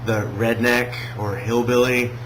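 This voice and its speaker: A man from Alabama with a Southern American accent, talking casually in a video response, hesitant with many pauses and restarts. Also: medium pitch, level tone, a bit bright, a little hiss or hum, low-quality recording. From Alabama, USA. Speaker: vlogger.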